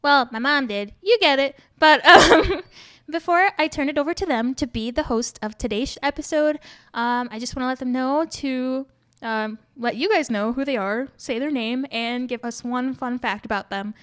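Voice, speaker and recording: Bubbly woman in her twenties, podcast host, high voice, dry close sound. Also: moderate pace, fairly bright tone, clean tone, dry recording. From Chicago, Illinois. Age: 20s. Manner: bubbly and giggly, slangy. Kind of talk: casual podcast chat.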